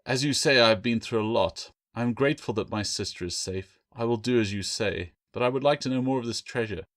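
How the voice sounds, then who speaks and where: A man's voice: medium pitch, fairly quick, fairly bright tone, lightly acted. A man voicing a bitter, suspicious orphan boy in an audiobook adventure, sarcastic and accusing. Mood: grudging. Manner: indignant, accusing.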